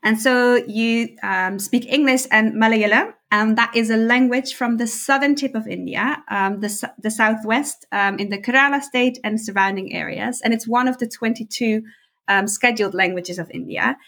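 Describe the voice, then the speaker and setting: A woman's voice: fairly high pitch, a bit bright. Woman from the Netherlands asking questions. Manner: measured, sums up answers. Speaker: interviewer. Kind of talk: podcast interview.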